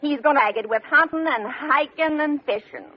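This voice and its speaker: An actress playing a loyal, gossipy housemaid in a confiding 1950s radio sitcom style. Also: female voice, sits high, normal speed, natural voice. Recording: noisy, dull old-time radio recording.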